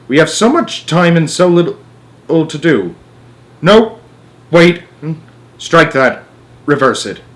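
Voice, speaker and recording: A man voicing an eccentric, excitable factory owner in a storybook, breezy, theatrical and grandly polite. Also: on the high side, slow pace, pauses often, voice pushed up. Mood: excited urgency.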